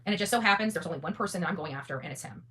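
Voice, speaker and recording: A woman's voice: fairly low pitch, lively intonation, very fast, sharp tone, slight background noise. A woman hosting an unscripted, opinionated monologue, blunt and defiant, often repeating phrases for emphasis and swearing freely. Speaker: online content creator and host.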